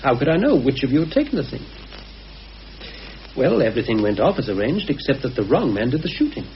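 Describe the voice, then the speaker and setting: An actor playing a cautious, anxious British conspirator in a radio play, speaking in a deliberate, hesitant, earnest way. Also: male voice, medium pitch, sing-song. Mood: grave, suspicious. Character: anxious, cautious male conspirator confiding a grave suspicion. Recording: muffled, hissy old radio recording.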